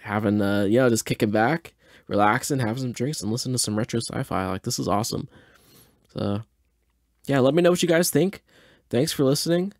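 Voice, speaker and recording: A man's voice: mid-range voice, a little fast, no room sound, clean studio sound. A mildly tipsy man hosting a podcast, rambling casually and hesitantly as he thinks aloud in a laid-back way. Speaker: podcast host. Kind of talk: casual rambling audio reaction and commentary.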